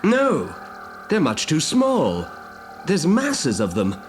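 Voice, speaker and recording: A man's voice: fairly high pitch, huge pitch swings, slow pace, a little hiss or hum, exaggerated voice. A man playing a scheming alien officer in a dramatised storybook, sardonic and questioning. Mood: puzzled. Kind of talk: dramatised storybook audio acting. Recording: music behind the voice.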